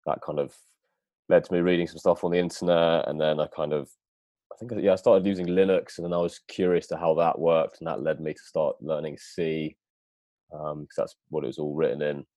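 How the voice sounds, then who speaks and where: A man's voice: rumbling low, warm tone, no echo. A man being interviewed as a guest, talking casually and filling his sentences with "like" and "kind of". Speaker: guest, data engineer.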